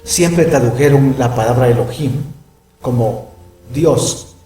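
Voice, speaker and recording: A man's voice: medium pitch, takes it slowly, mellow, recorded from a distance, soft background music. A middle-aged man teaching a Bible lesson, emphatic and given to asking "¿verdad?" as he explains. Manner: emphatic, peppers speech with "¿verdad?". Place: room with closed blinds. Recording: echoey room, voice off-mic.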